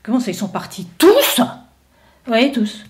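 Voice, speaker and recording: Stubborn shepherd man voiced by a woman, indignant, hesitant, fairly high voice. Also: big pitch swings, measured pace. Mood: incredulous. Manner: indignant, incredulous, full of hesitations. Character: stubborn shepherd man defending his homeland.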